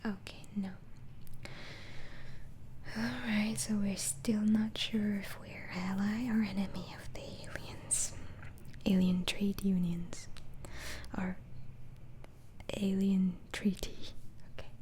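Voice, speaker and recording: Female ASMR performer as a patient asylum doctor: slow, hushed whisper, gentle hesitant questioning, audible background noise. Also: medium pitch, little pitch movement. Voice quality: breathy whisper. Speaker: ASMR roleplayer playing an interviewing doctor. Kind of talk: ASMR roleplay, soft whispering.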